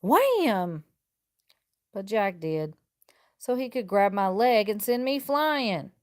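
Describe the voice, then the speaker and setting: A woman reading a children's picture book aloud like a teacher, with expressive, deliberate and emphatic phrasing. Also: mid-range voice, no room sound, clean studio sound. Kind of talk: expressive children's storybook read-aloud in first person.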